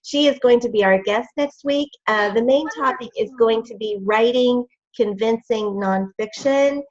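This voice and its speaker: A woman co-host giving a relaxed, casual announcement with fillers. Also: a little high, moderate pace, dull audio. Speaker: co-host announcing next week.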